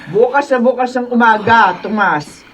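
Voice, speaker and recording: A woman's voice: on the high side, normal speed, over loud music, natural voice. A Filipino woman playing a worried, hesitant middle-aged aunt in a horror radio drama. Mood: flustered, placating. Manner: hesitant, flustered. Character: nervous, worried aunt placating a stern neighbour.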